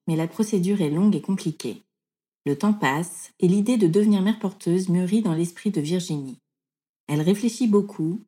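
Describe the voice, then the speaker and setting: A woman hosting a podcast, reading a scripted introduction and then asking interview questions. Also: fairly low pitch. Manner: steady read narration. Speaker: podcast host.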